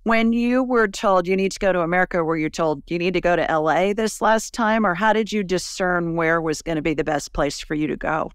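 A woman's voice: fairly low pitch, brisk, few pauses, clean tone, no echo, studio quality. A woman hosting an interview, asking questions with upbeat interjections, recorded on a podcast mic in a room at home. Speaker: host. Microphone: podcast mic on a stand.

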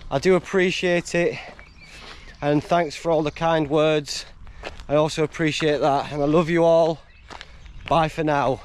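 A man's voice: a little high, steady tone, measured pace, pauses often, a little hiss or hum. A man, vlogging casually on a long hike, chatting in a tired, rambling way and laughing often. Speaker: hiking vlogger. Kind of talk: casual vlog chat and map recap. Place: outdoors on a country road and moorland. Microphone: camera held at arm's length.

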